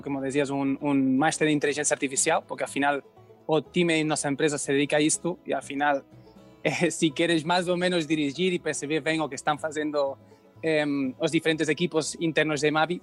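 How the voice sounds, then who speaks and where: A man in his thirties from Spain, a native Spanish speaker with a Spanish accent, haltingly searching for words as a podcast guest. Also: fairly high pitch. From Barcelona, Spain. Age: 30s. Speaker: podcast guest, company founder. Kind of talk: casual interview answers.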